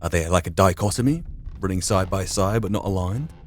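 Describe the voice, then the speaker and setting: A man giving measured, reflective commentary and reading aloud during a video game playthrough. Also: sits low, lively intonation, a little hiss or hum. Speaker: gaming channel player.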